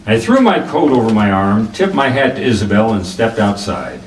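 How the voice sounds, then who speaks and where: A man's voice: lowish voice, sing-song, moderate pace. Man playing a wry detective in a roomy recording. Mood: cool, suspicious. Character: wry, hardboiled male private detective. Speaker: narrator and lead actor. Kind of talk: 1940s radio noir drama reading. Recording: roomy, distant mic, faint noise.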